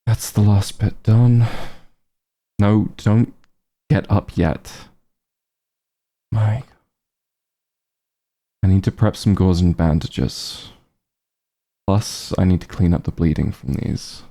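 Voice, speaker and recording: Man acting as caring back-room doctor, ASMR roleplay, very mellow and dark, gravelly, slow, reassuring. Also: fairly even intonation, exaggerated voice. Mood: gentle, careful. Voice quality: husky, low, gravelly. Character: gentle, concerned back-room doctor, an old friend.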